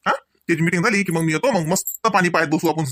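A man voicing a bantering cartoon husband from a comedy. His voice sits high and is recorded dry and close. He teases in a Varhadi Marathi accent, like someone always on his way out to work. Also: fast talker, a bit bright, dry recording. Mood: teasing. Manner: bantering, teasing.

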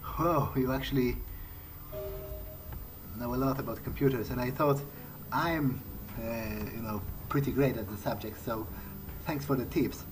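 Musing male gamer over loud music. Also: medium pitch, unhurried, rough recording. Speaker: gamer role-playing as his character. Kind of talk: in-character gameplay commentary, thinking aloud. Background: loud video game music.

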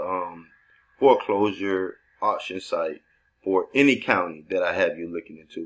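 A man talking through a screen-recorded tutorial for his assistants, loose and conversational, thinking aloud with restarts. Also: low voice. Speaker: real estate investor training assistants.

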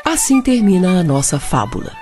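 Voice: mid-range voice, sing-song, moderate pace, loud music behind the voice. A narrator with a Brazilian accent telling a radio-play fable in a theatrical, playful way.

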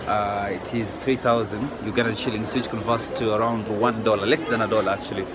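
A man's voice: fairly low pitch, fairly even intonation, a little fast. A young man from Kenya talking casually to his phone camera as a travel vlogger while walking through a market, with many fillers. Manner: chatty, talks straight to camera, many fillers. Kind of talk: casual walking vlog narration. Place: busy indoor market and city street. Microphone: phone held at arm's length. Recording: noisy market crowd with loud music. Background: crowd chatter and music.

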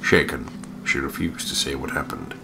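A man's voice: extremely low pitch, fairly even intonation, normal speed, vocal fry, noisy background. A man co-hosting a game playthrough, mixing casual commentary with reading aloud and joking asides. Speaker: co-host of a let's play, reading the on-screen text aloud.

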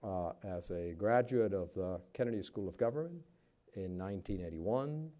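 Low, mellow, deliberate older man. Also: lively intonation. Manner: halting, deliberate phrasing. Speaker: moderator, professor introducing a guest. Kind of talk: formal podium introduction speech. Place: large auditorium stage, indoors. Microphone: podium microphones.

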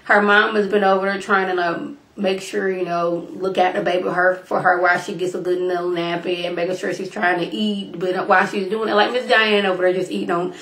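A young woman recaps a show into her webcam, her voice stuffy and a little hoarse from a cold. She talks loose and slangy, calling her viewers y'all, with a lowish voice. The small home room gives off a noticeable echo. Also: flat delivery, brisk, few pauses, fairly bright tone. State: congested and slightly hoarse from illness. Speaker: reality TV recap vlogger. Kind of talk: casual recap talk to camera. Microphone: webcam at desk distance.

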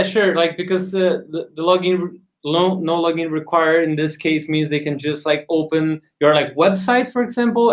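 A man informally explaining product features as a co-presenter in a webinar. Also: on the high side.

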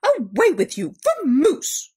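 A woman's voice: high voice, huge pitch swings, takes it slowly, a little mellow, voice pushed up, heavily acted. A woman voicing a grumpy, easily exasperated male bear from a storybook, with blustering, emphatic exclamations. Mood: outraged. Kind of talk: storybook character voice.

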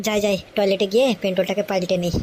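A woman's voice: medium pitch, level tone, racing, a little mellow, close to an everyday voice. A woman voicing a foolish, doting cartoon husband who wheedles and pleads with comic melodrama. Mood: besotted.

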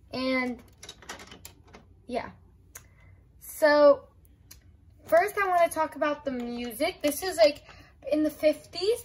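High-voiced young woman reviewing toys. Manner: enthusiastic, simple explaining with frequent "like" and "I love". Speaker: doll toy reviewer. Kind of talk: casual toy review vlog. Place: small room at home.

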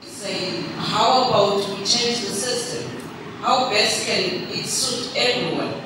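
A woman's voice: mid-range voice, takes it slowly. Distant woman in an echoey hall. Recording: echoey hall from far off, loud noise.

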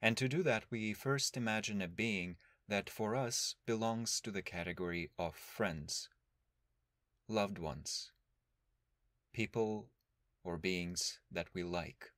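A man leading a guided meditation as a teacher, speaking in a calm, measured, instructional way with long pauses. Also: lowish voice, dry recording, clean studio sound.

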